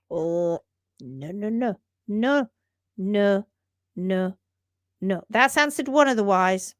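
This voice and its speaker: A woman hosting a live stream on hoof care, talking quickly and repeating herself, laughing often as she reads out viewer posts. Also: on the high side, no echo, studio quality. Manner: rapid-fire, repeats words, chatty. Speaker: livestream host, hoof care teacher. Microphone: livestream setup.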